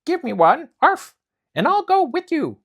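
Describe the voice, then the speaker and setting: A man's voice: very high voice, very animated, measured pace, lo-fi sound, pitched above the performer's own voice, heavily acted. A man voicing an eager male dog companion in a storybook, yapping barks between words. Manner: yappy, interjects barks.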